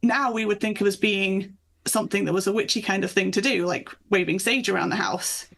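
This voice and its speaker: A woman's voice: mid-range voice, steady tone, brisk, gravelly, fairly bright tone. A woman speaking as a guest author in a conversational interview, explaining things with enthusiasm. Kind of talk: conversational interview chat about folklore.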